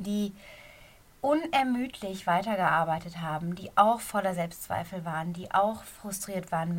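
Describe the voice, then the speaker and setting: A middle-aged woman with a slight cold and a somewhat hoarse voice, a running coach giving a casual, earnest podcast talk from a quiet corner of her bedroom. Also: mid-range voice. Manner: earnest, encouraging, talks straight to the listener.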